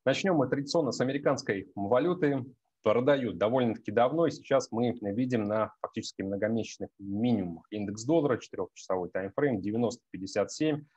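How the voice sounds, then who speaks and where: A man's voice: medium pitch, quick pace, a little mellow, slightly rough, dry recording, highs cut off. A man in his thirties gives market analysis over a video call on a headset, with many hesitations and direct address to his viewers.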